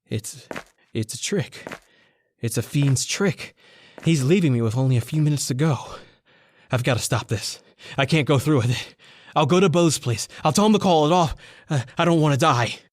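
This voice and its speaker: A man's voice: fairly high pitch, normal speed, a little hiss or hum, natural voice. An actor playing a frightened, wrought-up man with a gun in an old-time radio thriller, speaking in a melodramatic way. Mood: panicked. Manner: overwrought, melodramatic. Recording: music bed behind the voice.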